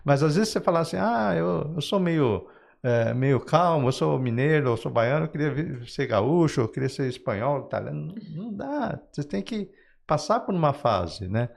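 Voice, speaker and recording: A man's voice: fairly high pitch, lively intonation, normal speed, creaky, a little mellow. A man with a Brazilian Portuguese accent, speaking as a guest author in an interview, explaining ideas conversationally through everyday examples with frequent check-in tags.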